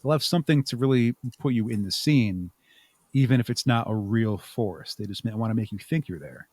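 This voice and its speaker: A man answering interview questions conversationally as a podcast guest, thinking aloud in a halting way with repeated words. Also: lowish voice, darkish tone, no room sound, clean studio sound. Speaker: podcast guest, audio drama writer and producer.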